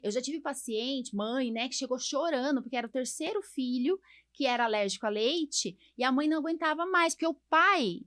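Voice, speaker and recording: A Brazilian woman with a fairly high voice speaks as a podcast host and doctor. She explains in a conversational, colloquial way, often adding little tags to seek agreement. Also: a little fast, few pauses, a bit bright.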